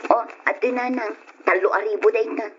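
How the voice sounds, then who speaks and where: An actress playing an overseas worker's wife and dutiful daughter in an old-style radio drama, speaking with an Ilocano accent. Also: female voice, fairly high pitch, steady tone, a little fast, slight background noise, natural voice. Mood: measured. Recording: dull lo-fi radio sound.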